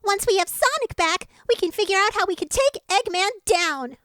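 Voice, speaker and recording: Woman voicing a high, earnest young cartoon sidekick. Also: lively intonation, moderate pace, bright, forceful tone, no echo, studio quality, full character voice. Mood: encouraging. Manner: pleading, sensible. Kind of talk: fan audio drama acting.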